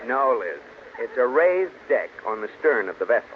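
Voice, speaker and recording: An actor playing an exasperated, wry American husband who grumbles sarcastically in an old-time radio comedy. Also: male voice, mid-range voice, sing-song, normal speed, narrow, tinny audio, lightly acted. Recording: thin, noisy old radio recording.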